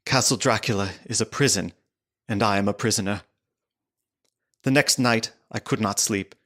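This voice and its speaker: A man playing a frightened young English gentleman prisoner, giving formal, dramatic diary narration in a gothic audio drama. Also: on the low side, moderate pace, close to an everyday voice. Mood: grim, uneasy. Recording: music underneath the voice.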